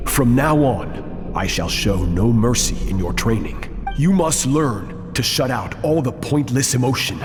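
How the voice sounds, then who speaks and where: An actor playing a stern, merciless master of swordsmanship in a video game, grave and commanding. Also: male voice, mid-range voice, animated, unhurried, soft background music, natural voice. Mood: cold, severe. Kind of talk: dramatic video game voice acting.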